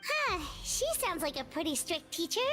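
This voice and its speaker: A woman voicing an excitable, blunt, tiny fairy companion in a video game, with a squeaky, babyish voice and perky delivery. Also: normal speed, exaggerated voice. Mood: curious, blunt. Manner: perky, refers to self in third person. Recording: faint game music behind the voice.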